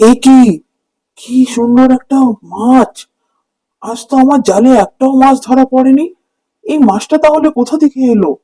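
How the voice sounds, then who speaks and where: Man playing a mellow, sorrowful poor fisherman. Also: very high-pitched, normal speed, long pauses. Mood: amazed. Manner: plaintive, lamenting. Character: poor village fisherman father, sorrowful and kind-hearted. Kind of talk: cartoon dubbing. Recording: music under the voice.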